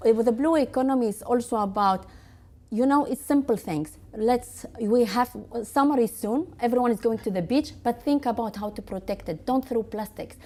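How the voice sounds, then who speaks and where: A woman from Tunisia answering interview questions in quick, halting full of restarts and fillers. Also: a little high, warm tone. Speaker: guest.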